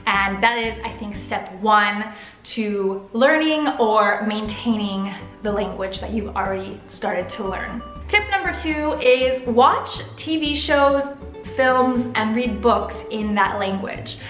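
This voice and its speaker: A young American woman vlogging to camera from her living room, upbeat, expressive and chatty. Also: mid-range voice, slow pace, reverberant, soft background music. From United States. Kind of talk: casual to-camera vlog. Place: living room with sofa, indoors.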